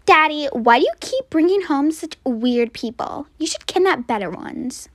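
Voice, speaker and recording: Bratty villain's daughter for a toy-app drama, scornful and whiny, high, animated voice played by a woman. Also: moderate pace, dry recording.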